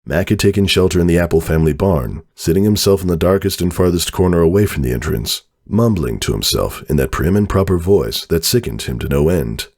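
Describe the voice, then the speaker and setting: A man's voice: sits low, lively intonation, fairly quick, few pauses, a little mellow. A man narrating a story as a scripted dramatic reading.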